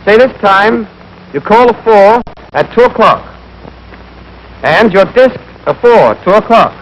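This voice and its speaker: A man's voice: sits high, lively intonation, a little slow, dull audio. A young American man giving scripted, clipped, step-by-step marksmanship instruction as an army instructor in a 1940s training film. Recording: noisy 1940s film soundtrack.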